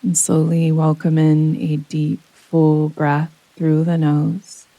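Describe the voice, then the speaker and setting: Female meditation guide: soothing, very even intonation, long unhurried pauses, intimate dry sound with rain behind. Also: low-pitched, no room sound, rough recording. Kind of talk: guided yoga nidra relaxation meditation. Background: soft rain sounds.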